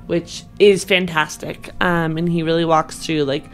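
A woman's voice: deep voice, flat delivery, measured pace, faint music behind. A woman co-hosting a podcast in a casual, conversational way. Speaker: podcast co-host. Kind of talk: casual chat.

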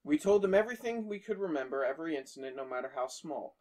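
A man's voice: a little high, lively intonation, fast talker, a little mellow. A man reading a horror story aloud on a podcast, stopping for jokey asides to his friends. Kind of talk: casual story reading with banter.

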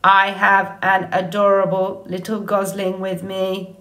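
A woman's voice: mid-range voice, completely flat delivery, a bit bright, close to an everyday voice, rough recording. A woman playing a friendly female robot in an audiobook story, speaking with formal, earnest politeness. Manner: friendly, measured, formal without contractions.

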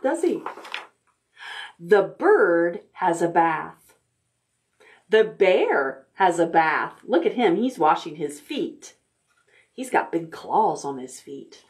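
A middle-aged woman hosting a children's storytime, reading aloud in simple, expressive language for toddlers. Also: on the low side. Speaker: library storytime host. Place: indoor room, recorded on video.

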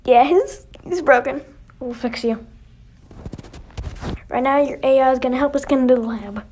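A woman acting out dialogue for an amateur animated story, dramatic and sometimes restarting her scripted lines. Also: high voice, moderate pace, slight background noise.

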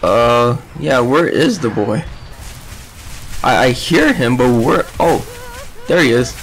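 A man's voice: mid-range voice, animated, slow, a little hiss or hum, rough recording. A man hosting a let's play, giving casual gameplay commentary and reading game text aloud.

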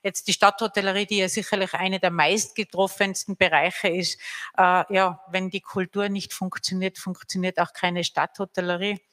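Bright-voiced Austrian woman panelist. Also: medium pitch, little pitch movement, moderate pace. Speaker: panel guest from the hotel trade. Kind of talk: panel discussion. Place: stage at a panel event. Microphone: handheld mic.

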